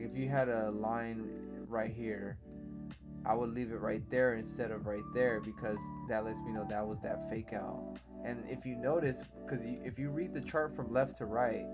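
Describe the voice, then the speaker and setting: A man of middle pitch hosting a live stream in a loose, casual way. He leans on fillers and repeats himself as he talks things through. Guitar music plays clearly in the background. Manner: loose, filler-heavy explaining. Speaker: livestream host, day trader. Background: music playing.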